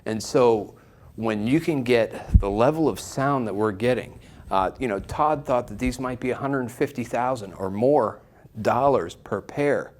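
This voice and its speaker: A man's voice: medium pitch, unhurried, darkish tone. A man speaking as an enthusiastic shop presenter, giving an informal product review straight to viewers. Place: shop listening room, indoors.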